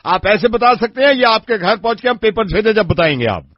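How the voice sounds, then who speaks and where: A radio prankster playing a stern, impatient police officer who interrogates people over the phone in a bossy way. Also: male voice, high voice, expressive, fairly quick, no room sound, muffled recording, pitched above the performer's own voice. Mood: indignant.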